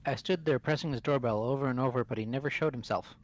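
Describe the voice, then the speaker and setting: Formal, anxious schoolboy for a visual novel, male actor: mid-range, brisk and urgent. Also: medium pitch, natural voice. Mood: urgent, worried. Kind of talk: fan-voiced visual novel acting.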